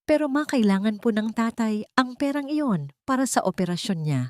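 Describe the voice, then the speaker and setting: A woman's voice: on the high side, animated, moderate pace, darkish tone, dry recording, pitched above the performer's own voice, close to an everyday voice. Mistreated wife for audio drama, played by a female narrator. Filipino accent, humble, politely pleading, close dry recording. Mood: hurt pleading.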